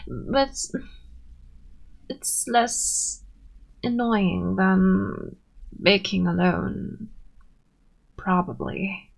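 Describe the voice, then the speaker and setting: A woman's voice: mid-range voice, creaky, full character voice. A woman playing a tsundere friend in an ASMR roleplay, brusque and defensive but secretly sweet. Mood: bossy, flustered. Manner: brusque, defensive, softening into shy warmth. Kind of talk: ASMR roleplay, scripted monologue to listener.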